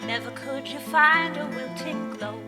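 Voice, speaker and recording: A woman's voice: high voice, a little slow. A woman singing a musical theater song live in a barn, accompanied by plucked guitar. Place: barn, small live performance. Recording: reverberant live barn recording.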